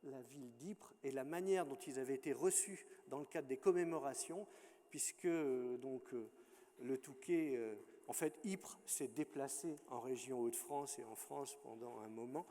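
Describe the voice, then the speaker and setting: A man's voice: medium pitch, moderate pace, warm tone, big-room sound. A man chairing a conference session, hesitant and full of fillers as he introduces the next speaker.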